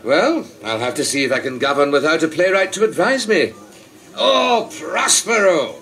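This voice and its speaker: A man's voice: on the high side, animated, moderate pace, fairly bright tone. An actor playing a genial, talkative king of England in a radio play, with a theatrical, condescending manner. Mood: magnanimous, grand. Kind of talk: broadcast radio play acting.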